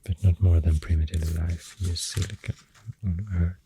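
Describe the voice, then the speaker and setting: An ASMR creator with a Swedish accent, speaking very softly and often near a whisper, thinking aloud hesitantly into a close microphone. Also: low-pitched, flat delivery, normal speed, background noise. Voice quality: very soft, breathy, often dropping to a whisper. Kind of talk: soft-spoken ASMR, rambling science facts.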